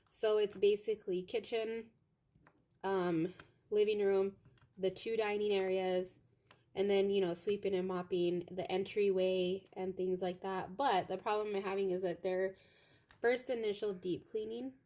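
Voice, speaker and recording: A young woman with a loud voice, chatting casually from her home office while crafting, meandering with lots of fillers. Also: medium pitch, little pitch movement, a little slow. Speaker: craft vlogger. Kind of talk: rambling casual chat to viewers.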